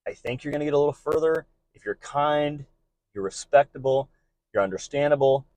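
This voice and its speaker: A man's voice: a little high, a little fast, darkish tone, dry recording, poor audio. A man speaking informally and earnestly, offering encouraging advice to fellow delivery drivers in a monologue.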